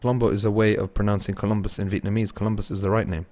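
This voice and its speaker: A man commentating solo on a live game stream, mixing play-calling with casual chat to viewers and shifting between excited and low-key delivery. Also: racing. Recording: noisy with game gunfire and clicks. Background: game gunfire and clicks.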